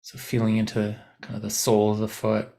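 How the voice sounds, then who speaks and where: Low, creaky-voiced man guiding meditation. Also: monotone. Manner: gentle, unhurried, instructive with long pauses. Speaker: meditation teacher. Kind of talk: guided body scan meditation.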